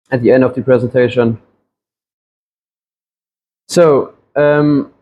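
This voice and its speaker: A man's voice: on the high side, darkish tone, a little hiss or hum. A man, giving a classroom presentation with frequent ums and restarts. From Germany. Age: 20s. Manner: hesitant, many ums and restarts. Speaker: student presenter.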